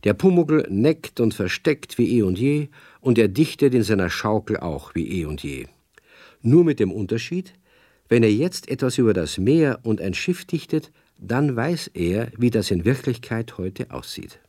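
A man's voice: fairly low pitch, sing-song, moderate pace, dark tone, no echo, clean studio sound. A man narrating a children's radio play as a genial storyteller. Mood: storytelling.

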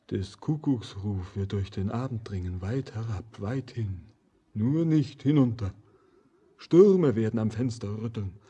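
Husky, haunted young wanderer. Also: male voice, medium pitch, dark tone. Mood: solemn, wistful. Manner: lyrical, solemn, impassioned declaiming. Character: haunted young wandering stranger, fervent and doom-laden. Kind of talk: poetic German radio play acting.

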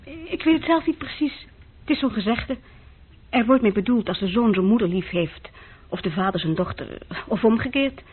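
An actress playing an earnest, thoughtful young female university student in a radio drama, hesitant and gently frank. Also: fairly high pitch, expressive, normal speed, slight background noise, close to an everyday voice. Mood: shy, frank. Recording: muffled old radio recording.

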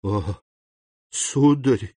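A man voicing a terrified, trembling noblewoman who falters and pleads in hushed, breaking phrases. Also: on the low side, extremely slow, slightly rough, dry recording, studio quality, heavily acted. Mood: frightened pleading.

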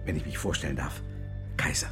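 An actor playing a cynical intelligence agent in a radio drama, blunt, matter-of-fact and glib as he makes his pitch. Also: fairly quick, over loud music, lightly acted. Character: smug, cynical male intelligence agent recruiting informants. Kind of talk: German radio drama acting.

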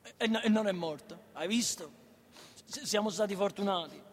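A man plays a naive, blunt young son with a southern Italian accent in a radio play. His voice is high for a male and childlike. He trips over the first syllables and insistently repeats his phrases. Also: normal speed, long reverb tail, natural voice. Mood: worried, earnest. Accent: southern Italian, Neapolitan. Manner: stammering starts, repetitive, childlike. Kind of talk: naturalistic Italian radio drama acting.

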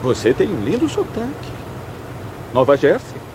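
A man's voice: fairly high pitch, wildly swooping pitch, unhurried, background noise. An actor dubbing a naive, dim-witted young man who is overly friendly and stammers awkwardly. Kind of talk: Brazilian Portuguese film dub.

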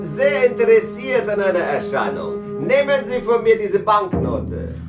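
An actor playing a generous, enthusiastic Russian gentleman in a radio play, recorded echoey and distant. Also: high-pitched, huge pitch swings, measured pace, exaggerated voice. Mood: hearty, grand. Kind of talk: German radio play acting. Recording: echoey, distant, loud music over it.

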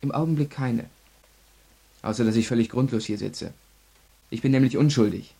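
An actor in a German radio crime drama playing an arrogant, self-assured young law student in custody, husky, clipped and defiant. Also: male voice, mid-range voice, normal speed, dark tone. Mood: sullen, defiant. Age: young adult.

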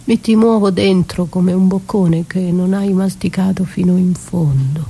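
A woman's voice: lowish voice, gravelly, dark tone, a little hiss or hum. A woman performing a dramatic radio theatre monologue as a battered wife, wounded, accusing and defiant, with intense delivery. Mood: dark, accusing. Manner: slow, intense delivery swinging from whispers to cries. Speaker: stage actress performing a solo monologue. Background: music.

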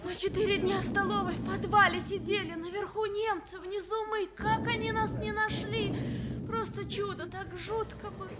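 A woman's voice: extremely high pitch, fairly even intonation, moderate pace. An actress playing an emotional, devoted young woman under siege in a 1950s Soviet radio play. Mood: breathless relief. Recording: very noisy old recording, crowd behind.

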